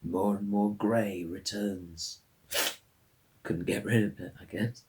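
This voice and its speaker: An actor playing a melancholy colourblind man, delivering an intimate, halting and understated dramatic monologue. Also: on the low side, fairly even intonation, dark tone. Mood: fearful, sorrowful. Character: melancholy colourblind man losing his regained colour vision.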